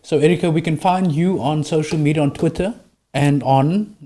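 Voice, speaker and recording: A man's voice: a little high, moderate pace, mellow. A man hosting an interview in a playful, informal way, often stammering and repeating words. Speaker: host. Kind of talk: interview conversation.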